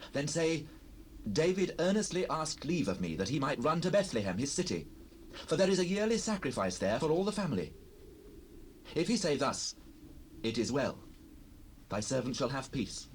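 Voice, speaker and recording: An actor as an anxious, animated biblical fugitive man, noisy tape. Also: medium pitch, lightly acted. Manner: earnest, solemn, archaic biblical speech. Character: young David, anxious fugitive pleading with his friend. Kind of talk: dramatized scripture, audio drama acting. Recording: noisy cassette rip, distant ambience.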